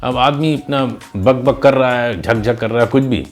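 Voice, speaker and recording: A man's voice: medium pitch, normal speed, soft background music. A man narrating in a conversational, explanatory teacher's style, mixing in English words as he tells a moral story and gives advice.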